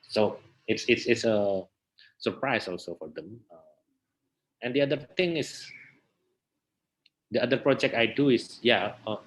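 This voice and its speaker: A man's voice: medium pitch, measured pace, pauses often, low-quality recording. A man with an Indonesian accent answering interview questions conversationally, often searching for his words. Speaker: interview guest, theatre researcher and festival director.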